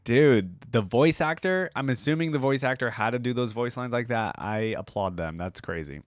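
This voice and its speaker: A man's voice: medium pitch, normal speed, no echo. A young man giving casual, slangy reaction commentary, musing aloud as he goes. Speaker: reaction video host.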